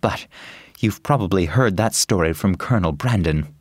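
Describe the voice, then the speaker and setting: Remorseful gentleman scoundrel, low swooping voice. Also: lively intonation, normal speed, no room sound, clean studio sound. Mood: regretful confessing. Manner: earnest, pleading confession. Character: remorseful young gentleman scoundrel, earnest and pleading. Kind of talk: dramatised audiobook dialogue.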